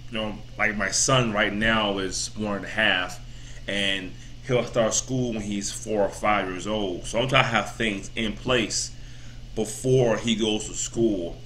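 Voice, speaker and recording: A man in his thirties talks casually to his viewers, rambling and circling back on his points with plenty of fillers. His voice has a bright, forward edge, with a faint hum of room ambience underneath. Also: fairly low pitch, fairly even intonation, measured pace, poor audio. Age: 30s. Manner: rambling, repeats himself, many "you know" fillers. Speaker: vlogger. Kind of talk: casual motivational talk to camera.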